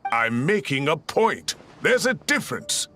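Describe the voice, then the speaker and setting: An actor playing a fussy, impatient professor who teases in a sarcastic, lively way. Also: male voice, on the high side, unhurried, full character voice. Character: fussy, impatient male professor, teasing but caring. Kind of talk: video game voice acting. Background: game music.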